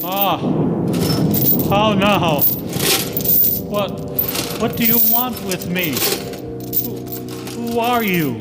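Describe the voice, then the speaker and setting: A man acts out a stubborn, scornful old miser who is easily frightened, in an old-time radio play. The voice is high and extremely slow, halting and stammering. Loud music and long hall reverb surround it. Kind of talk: 1940s radio play acting.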